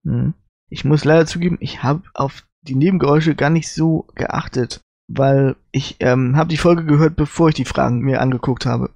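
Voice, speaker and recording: A man's voice: medium pitch, fairly even intonation, normal speed, vocal fry, darkish tone, no room sound. A man speaking casually as a panelist on a review podcast. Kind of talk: casual discussion.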